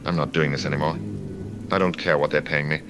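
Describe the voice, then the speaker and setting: A man playing a disillusioned middle-aged mercenary soldier giving grim, measured testimony in an audio drama. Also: extremely low pitch, steady tone. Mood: resolute. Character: weary disillusioned male mercenary soldier, bitter and defiant. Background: background music.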